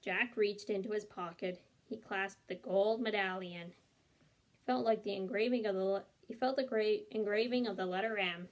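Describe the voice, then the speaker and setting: Expressive woman reading a children's story. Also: medium pitch, normal speed. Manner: animated, acts out the dialogue. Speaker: read-along storyteller.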